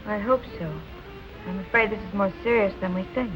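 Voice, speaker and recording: A woman's voice: mid-range voice, fairly quick, music in the background, natural voice, low-quality recording. An actress playing an anxious, guarded young woman in 1940s film noir style, polite and earnest. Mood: worried. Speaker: actor.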